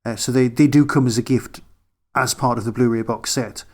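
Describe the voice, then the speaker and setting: A man's voice: mid-range voice, fairly even intonation, brisk. Geordie man, casual podcast co-host. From Newcastle upon Tyne, England. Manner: self-deprecating, chatty. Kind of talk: casual chat.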